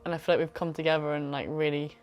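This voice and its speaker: A young adult woman racer, chatty and quick to laugh, talking in a documentary interview. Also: deep voice, little pitch movement, faint music behind. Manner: warm, chatty, laughs easily.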